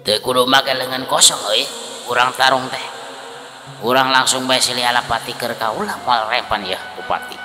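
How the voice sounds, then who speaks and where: Man plays fearless scarred folk-tale fighter, Sundanese accent. Loud, taunting, reverberant, raised pitch. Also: steady tone, moderate pace. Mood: challenging. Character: boastful, fearless, battle-scarred male brawler. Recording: long reverb, music behind.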